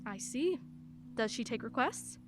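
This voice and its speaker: A woman's voice: on the high side, animated, measured pace, over music. An actress playing a wry, candid single mother in her forties in a radio play, a lawyer who laughs easily while talking. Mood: conversational, wry.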